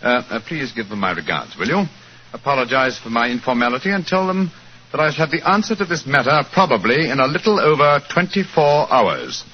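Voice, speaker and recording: Vintage radio sleuth, male actor: British, shrewd, clipped and commanding, mid-pitched with lively swings, dull hissy sound. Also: fairly quick, close to an everyday voice. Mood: courteous, commanding. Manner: clipped, authoritative, precise. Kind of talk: 1940s radio drama acting. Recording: muffled, noisy 1940s radio recording.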